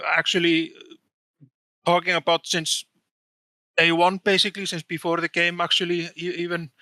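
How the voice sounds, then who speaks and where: Guy on a podcast stumbling thru his answers, repeats words a lot, monotone, dry close sound. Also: fairly high pitch, clear, resonant tone, dry recording. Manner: halting, many repeated words and restarts. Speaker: game design director guest. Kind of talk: casual podcast interview.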